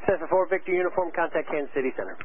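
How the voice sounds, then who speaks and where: A man working as a tower air traffic controller, giving brisk, clipped, directive instructions over aviation radio. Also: on the high side. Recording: tinny, narrowband aviation radio with static.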